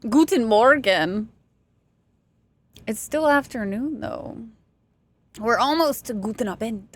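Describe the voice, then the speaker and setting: A young woman from Texas talks to her stream chat while she plays, her voice sitting a little high. She rambles casually, pokes fun at herself and laughs often. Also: expressive, slow pace. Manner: chatty, self-deprecating, laughs often.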